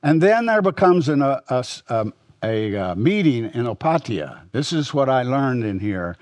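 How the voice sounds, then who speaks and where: An older man with a Texas accent giving a rambling, reminiscing historical lecture at a symposium, often pausing and restarting. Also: medium pitch, big pitch swings, darkish tone, no echo. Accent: Texan. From Texas, United States. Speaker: symposium speaker.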